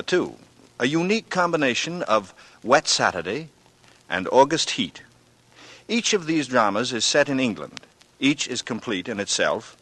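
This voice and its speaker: A man narrating a 1940s radio drama as host, formal, measured and theatrical in his scripted delivery. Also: mid-range voice, pushed and bright, no room sound, rough recording. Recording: old radio broadcast.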